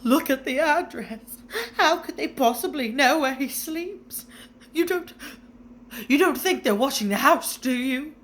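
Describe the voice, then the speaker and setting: A nervous, shrill middle-aged aunt, as a woman reading a storybook puts her on, climbing high and loud. Her voice quivers on the edge of tears. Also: fairly even intonation, takes it slowly, few pauses, slight background noise. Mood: fretful.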